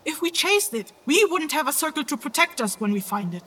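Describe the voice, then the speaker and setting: A woman's voice: high-pitched, very animated, brisk, a bit bright. A woman playing a proud, distrustful summoner in an audio drama, speaking in a curt, authoritative and dry manner. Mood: explaining, firm. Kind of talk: audio fiction acting.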